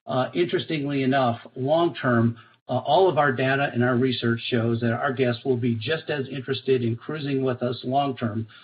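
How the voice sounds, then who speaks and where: A man speaking as a corporate executive on an earnings call, in measured, hesitant corporate phrasing. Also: mid-range voice, fairly even intonation. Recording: dull conference call audio, faint noise.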